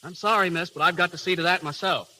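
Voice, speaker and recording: Earnest young waiter in 30s radio play, fast n very bright voice, hissy old recording. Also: male voice, high-pitched, fairly even intonation, rough recording. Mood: politely determined. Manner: polite, earnest, stubborn. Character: earnest, humbled young man working as a waiter. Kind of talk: 1930s radio drama acting.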